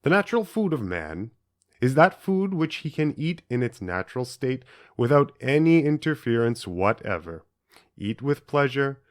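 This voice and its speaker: A man reading scripted audiobook narration in deliberate, measured phrases with emphatic stresses. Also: medium pitch. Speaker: audiobook reader.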